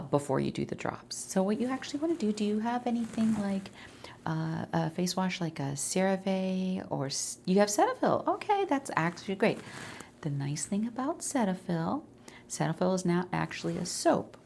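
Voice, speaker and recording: A woman speaking softly in an ASMR medical roleplay, explaining things in a reassuring, conversational way as an eye doctor. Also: medium pitch, gravelly, a little hiss or hum. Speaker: ASMR creator role-playing an eye doctor.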